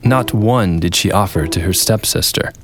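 A man's voice: low voice, normal speed. A man reading a scripted fairy tale as a storyteller.

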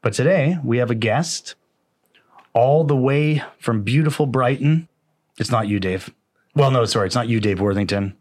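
Canadian man, podcast host, husky voice, dry close sound, joking casual chat. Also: medium pitch, moderate pace, darkish tone, no room sound. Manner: joking, teasing. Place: warehouse with a bottling line.

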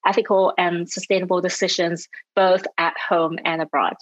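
A woman from Hong Kong, a guest educator, speaking in a measured, thoughtful way in an interview. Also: fairly low pitch, flat delivery, no room sound. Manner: measured, thoughtful, light laughs. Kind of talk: interview conversation. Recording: dull muffled sound, dry.

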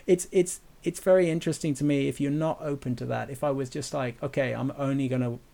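A man with a gravelly, fry-edged voice talks casually on a podcast. He often stumbles, repeating words and restarting his thoughts as he goes. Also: mid-range voice, fairly even intonation, fast, mellow. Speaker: podcast host. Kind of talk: casual conversation.

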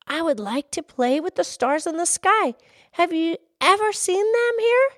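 A woman's voice: very high voice, animated, measured pace, dry recording, clean studio sound, pitched above the performer's own voice. A woman voicing a polite, determined little girl in a folk tale. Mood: polite hopeful.

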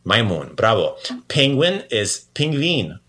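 A man's voice: fairly low pitch, big pitch swings, takes it slowly, fairly bright tone. A man hosting a podcast language lesson in a casual, playful and encouraging way. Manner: encouraging, praising the learner often.

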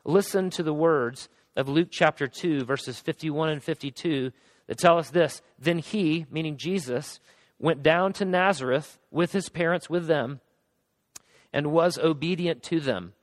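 A pastor preaching a sermon, speaking earnestly with frequent restarts, his voice going hoarse. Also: on the high side, unhurried, in a large hall, low-quality recording.

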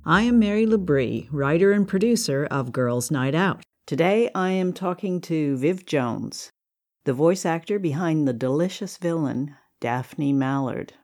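Woman podcast host with a pretty low voice, doing a chatty interview. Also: lively intonation, a little slow, darkish tone. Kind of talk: conversational interview.

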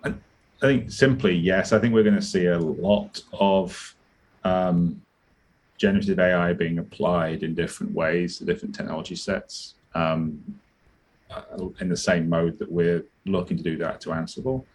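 A man's voice: low voice, fairly even intonation, moderate pace, slight background noise. A British man taking part as a guest in an interview, explaining his views in a conversational way and thinking aloud with small restarts. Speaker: guest, product director.

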